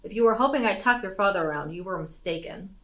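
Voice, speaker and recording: A woman voicing a stern, vehement warrior noblewoman and mother in a storybook, speaking emphatically and deliberately. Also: mid-range voice, animated, fairly bright tone, lightly acted. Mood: firm.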